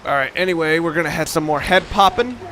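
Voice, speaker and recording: A man's voice: fairly high pitch, animated, quick pace, sharp tone, a little hiss or hum. A man giving casual, rambling commentary over a video game, wry and often stopping to restart his sentences. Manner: rambling, wry, self-interrupting. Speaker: let's play commentator.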